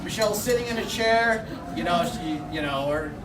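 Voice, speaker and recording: A man with a high voice rambles through a joking dinner toast, leaning on "you know" at every turn. He is heard from far off in an echoey hall. Loud music and a crowd carry on behind him. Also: animated, normal speed. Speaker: best-man-style speaker, bride's older brother. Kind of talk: wedding rehearsal dinner toast. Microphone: handheld microphone.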